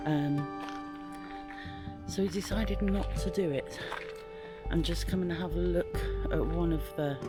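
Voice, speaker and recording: A woman's voice: fairly low pitch, measured pace, loud music behind the voice. A British woman giving casual travel vlog commentary in a wry, understated way. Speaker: travel vlogger.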